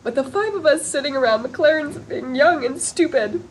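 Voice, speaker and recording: A weary, wistful sitcom woman pulling away from old friends. Her voice is fairly high and very lively in pitch, with faint noise from the rehearsal recording. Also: moderate pace, poor audio. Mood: sad, resigned. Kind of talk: sitcom table read.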